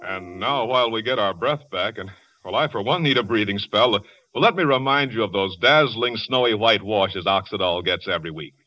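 A man announcing a 1940s radio advert in a breezy, chatty sales pitch. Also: fairly quick, pushed and bright. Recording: dry, dull lo-fi old radio recording.

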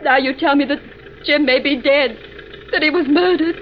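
An actress playing an anxious, wounded wife with an earnest, dramatic manner, in old radio melodrama style. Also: female voice, high-pitched, normal speed, close to an everyday voice. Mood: shocked. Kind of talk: 1940s-50s radio melodrama acting. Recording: muffled, noisy old radio recording.

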